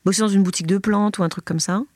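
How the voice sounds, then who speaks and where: A woman's voice: mid-range voice, fairly even intonation, racing, a little mellow, no room sound, clean studio sound. A woman in her thirties with a stuffy head cold, chatting casually as a podcast guest, swearing casually and acting out other people's voices. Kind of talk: casual chat.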